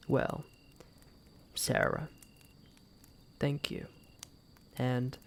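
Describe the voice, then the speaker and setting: A man playing an embittered exiled knight in a fantasy audio drama, speaking formally and solemnly with a gravelly voice and dramatic pauses. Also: mid-range voice. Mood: softening, grateful. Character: embittered exiled knight, wounded pride, grieving for his mother. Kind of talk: first-person fantasy roleplay audio drama.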